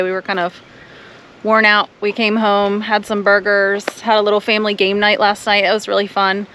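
A woman's voice: mid-range voice, fairly bright tone, a little hiss or hum. A young woman chatting casually and cheerfully while vlogging a family camping trip outdoors, laughing easily. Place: outdoors at a beach and park. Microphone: action camera.

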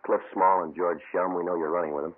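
A man playing a calm, terse American police detective in a 1950s radio crime drama, clipped and matter-of-fact, on an old muffled recording. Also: deep voice, fast talker. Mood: stern, controlled. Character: calm, terse, no-nonsense male police detective narrating his case. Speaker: lead detective and narrator.

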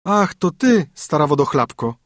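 A man voicing a young princess who cries and pleads, lifting his voice high above his own. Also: very animated, normal speed, no echo, clean studio sound. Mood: pleading. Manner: high, pleading falsetto-like lift.

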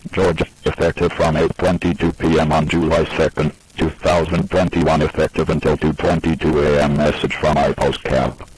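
A man's voice: a bass voice, darkish tone, breathy edge, no echo, rough recording. A man reading a scripted emergency alert in an impersonal, formulaic way.

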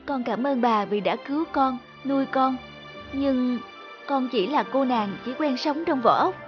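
A woman playing a gentle, dutiful young fairy girl in a cartoon folk tale, polite and earnest. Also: sits high, measured pace, lo-fi sound, soft background music. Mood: humble.

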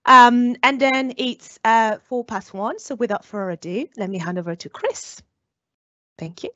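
A young woman hosting a webinar, speaking over a headset on a video call in a relaxed way with hesitant ums. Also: on the high side, moderate pace, no echo. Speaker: webinar host. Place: small indoor room. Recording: dull, dry headset sound.

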